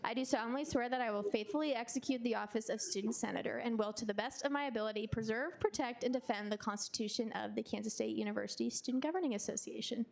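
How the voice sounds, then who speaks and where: A young woman running a student senate swearing-in with relaxed, joking asides and instructions to the room. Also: a little high, fast talker, vocal fry, few pauses. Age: young adult. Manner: joking, relaxed, directs people. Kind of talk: ceremony hosting, casual asides.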